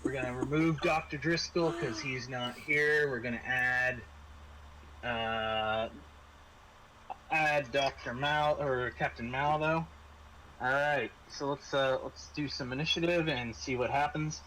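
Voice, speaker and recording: Bright-voiced man running a tabletop game. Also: mid-range voice, steady tone, slight background noise, rough recording. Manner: halting, conversational delivery. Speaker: game master. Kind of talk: improvised narration of a tabletop horror game.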